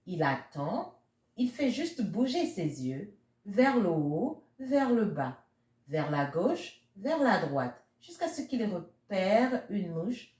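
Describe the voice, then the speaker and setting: A woman's voice: mid-range voice, very animated, slow, a little mellow. A woman reading a picture book aloud expressively for young children, addressing her listeners as friends. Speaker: children's storybook reader. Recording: roomy, echoey sound.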